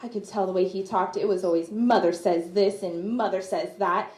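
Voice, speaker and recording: A woman's voice: medium pitch, fairly even intonation, moderate pace, darkish tone, close to an everyday voice. A woman acting a bitter, jealous, heartbroken young woman in a live student radio drama. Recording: echoey live stage recording.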